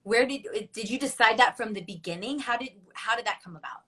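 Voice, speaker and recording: A woman's voice: a little high, a little fast, a bit bright, breathy edge. A woman hosting a book club interview, asking her questions with enthusiasm and repeating words as she goes. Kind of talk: conversational interview.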